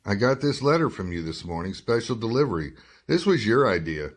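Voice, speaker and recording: An actor playing a dogged newspaper reporter in a radio detective drama, probing and wry in a hard-boiled way. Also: male voice, fairly low pitch, big pitch swings, a little fast. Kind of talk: radio detective drama acting and first-person narration.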